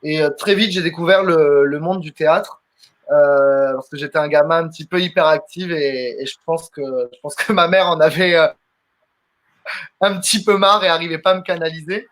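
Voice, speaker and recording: A man's voice: on the high side, fairly even intonation, normal speed, a bit bright. A man in his twenties, a comedian from southern France, answering interview questions in a chatty way with many fillers and restarts. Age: 20s. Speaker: guest comedian.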